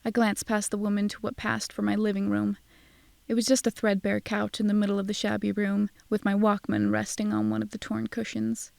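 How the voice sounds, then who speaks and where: A woman's voice: medium pitch, flat delivery, fairly quick, creaky, a little mellow, dry recording. A woman narrating a scripted first-person audio drama in a wry, sardonic manner.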